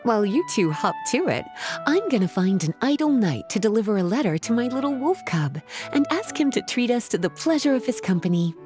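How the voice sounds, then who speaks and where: A woman's voice: fairly high pitch, big pitch swings, brisk, few pauses, over music. A woman voicing an elegant, doting witch librarian in a video game, coy, teasing and affectionate. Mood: coy, pleased. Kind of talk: video game cutscene acting.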